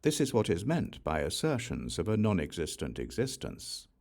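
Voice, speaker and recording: A man narrating a Buddhist scripture as an audiobook, reading in a measured, deliberate way. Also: low voice, wildly swooping pitch, vocal fry, darkish tone.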